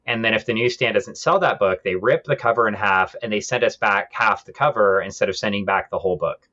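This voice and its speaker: A man's voice: fairly low pitch, brisk, pushed and bright, highs cut off. A man co-hosting a podcast, explaining things in a casual, conversational way. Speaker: podcast host. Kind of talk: casual discussion of comic book history.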